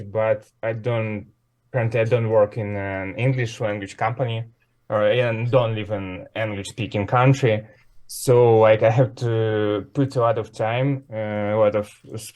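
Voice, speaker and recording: A man learning English, giving a measured casual answer with many fillers. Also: fairly low pitch, a little mellow.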